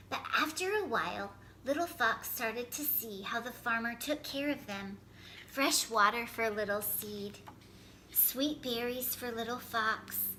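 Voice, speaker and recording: Bright-voiced woman reading slowly to children. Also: fairly high pitch, slight background noise, low-quality recording. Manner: expressive, careful storytelling. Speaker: storyteller, host. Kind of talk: children's picture book read-aloud.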